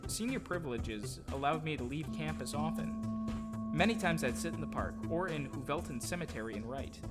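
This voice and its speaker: A man's voice: a little high, expressive, fairly quick, in a large hall. A man reading a scripted personal story in a reflective storytelling manner, like an audio drama narrator. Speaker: narrator and audio drama producer-actor telling own story. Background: clear music.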